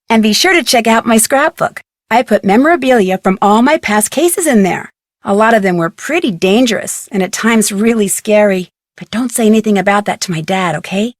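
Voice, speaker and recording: A woman's voice: fairly high pitch, no echo, clean studio sound. An actress voicing a friendly, curious young female detective in a scripted video game. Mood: friendly, chatty. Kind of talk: scripted game dialogue and tutorial narration.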